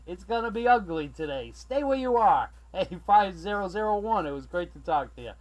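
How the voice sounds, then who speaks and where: A man from Massachusetts chatting as a CB radio operator, friendly and excitable, calling out callsigns and sign-offs. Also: sits high, a little fast, poor audio. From Massachusetts, USA. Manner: excitable, friendly, prone to raising his voice. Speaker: CB radio operator running the channel from South Carolina.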